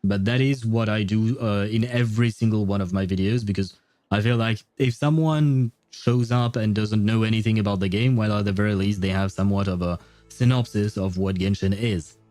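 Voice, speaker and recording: Male game streamer, mellow, dry close mic, rambling and hesitant, faint game music. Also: lowish voice, fairly even intonation, moderate pace, no echo. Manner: rambling, hesitant, many fillers, laughs often. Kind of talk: livestream gameplay commentary, reading chat.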